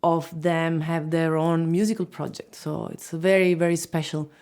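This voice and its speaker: A woman's voice: low-pitched, flat delivery, normal speed, darkish tone. A middle-aged woman from Italy with an Italian accent, talking in a documentary interview about playing woodwinds, earnest and sometimes searching for words. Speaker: band woodwind player.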